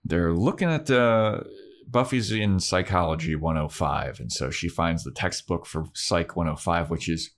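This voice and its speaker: A young man co-hosting a casual podcast, recapping a show in a relaxed, rambling way and acting out bits of dialogue. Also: sits low, normal speed, slight background noise. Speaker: podcast co-host. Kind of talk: casual chat.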